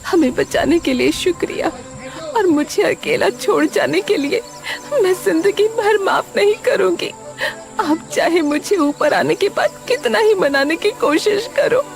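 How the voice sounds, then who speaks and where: A woman voicing a long-suffering, grieving village mother in an animated story. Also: very high-pitched, measured pace, creaky, soft background music, pitched above the performer's own voice, full character voice. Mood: tearful, hurt.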